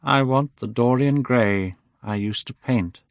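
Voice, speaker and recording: A man reading aloud, voicing an older painter who sounds worried, gentle and pleading. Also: mid-range voice, sing-song, moderate pace, no echo, studio quality, voice pushed up. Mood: anxious, pleading.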